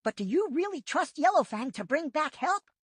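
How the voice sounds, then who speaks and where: A woman's voice: high-pitched, sing-song, moderate pace, no echo, clean studio sound, voice pushed up. A woman voicing a worried, sarcastic young tomcat apprentice in a storybook reading.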